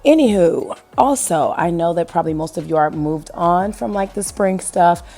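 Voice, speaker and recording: A woman in her thirties talks in a casual, rambling voice-over. Her voice is hoarse and gravelly from illness and sits low. Faint background music plays under her. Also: animated, normal speed, dark tone. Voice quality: gravelly, husky. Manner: chatty, rambling, asks viewers questions. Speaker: home decor vlogger.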